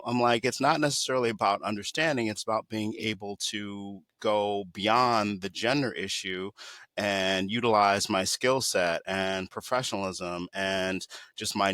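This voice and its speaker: Guy hosting a coaching podcast, lowish voice but bright and forceful, lots of ums. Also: smooth, dry recording. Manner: affirming, with frequent fillers. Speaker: podcast host, life coach. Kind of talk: podcast interview conversation.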